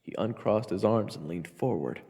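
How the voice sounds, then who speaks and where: A man's voice: lowish voice, expressive, moderate pace, dark tone, slightly rough, long reverb tail. A man playing a wry, sarcastic young adventurer who also narrates a fantasy audio roleplay. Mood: weary narrating. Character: young male adventurer hero, wry, irritable trainee and narrator.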